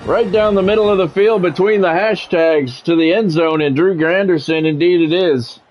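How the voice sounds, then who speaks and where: A man's voice: high-pitched, normal speed, dull audio, soft background music. A middle-aged man calling a football video game like a radio play-by-play announcer, in clipped phrases with informal asides. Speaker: gamer and play-by-play commentator.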